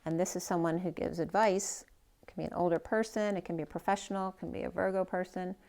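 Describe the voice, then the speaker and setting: A woman's voice: on the low side, steady tone, quick pace, creaky, darkish tone. A woman giving a casual tarot reading who is losing her voice. She thinks aloud, trails off and restarts her sentences. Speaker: tarot reader.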